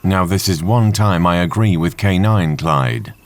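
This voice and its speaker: Man as a deep-voiced, polite supercomputer. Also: a little slow. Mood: matter-of-fact. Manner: formal, matter-of-fact, teasing. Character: polite, dryly sarcastic supercomputer. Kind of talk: audio drama acting.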